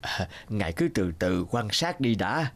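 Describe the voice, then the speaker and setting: A man voicing a weary, humble old water carrier with a low, hesitant voice in an animated folk tale. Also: a little slow. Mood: deferential, explaining.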